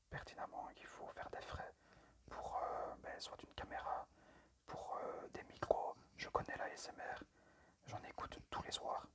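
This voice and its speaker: A man speaks in a breathy whisper, with almost no voice behind the words. His ASMR presentation is amateur and tentative, halting often as he goes. The manner is apologetic and unpolished. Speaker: amateur ASMR video creator.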